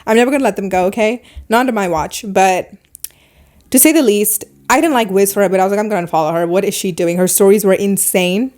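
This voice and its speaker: A woman with a foreign accent hosting a podcast, talking through her opinions in casual chat, animated and fast in bursts. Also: mid-range voice. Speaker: podcast host.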